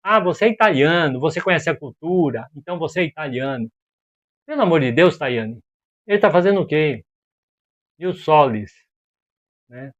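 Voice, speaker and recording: A man from Brazil giving casual opinion commentary as a video host, animated and exasperated, full of rhetorical questions and slang. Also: on the high side, normal speed, pauses often, muffled recording.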